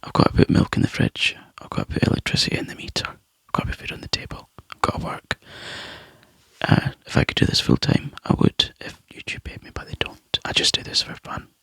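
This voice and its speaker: A Scottish man chatting to viewers in a soft, rambling ASMR whisper, close to the mic. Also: brisk, no room sound, rough recording. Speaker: ASMR YouTuber.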